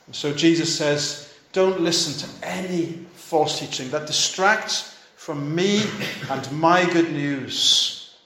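A man's voice: fairly high pitch, slow, pushed and bright, a little hiss or hum. Echoey preaching man of fifty. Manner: earnest exhortation in short repeated phrases. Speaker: pastor. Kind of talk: sermon.

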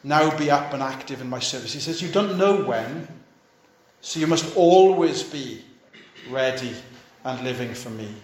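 A man's voice: medium pitch, animated, measured pace, reverberant. A fifty-year-old male pastor preaching a sermon, urging his listeners on in short, repeated, earnest phrases.

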